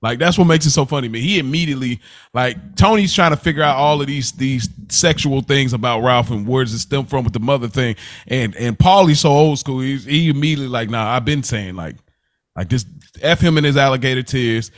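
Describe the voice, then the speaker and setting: A man hosting a podcast in a casual recap style, slangy and excitable, often repeating himself for emphasis. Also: medium pitch, a little fast, no room sound. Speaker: podcast host.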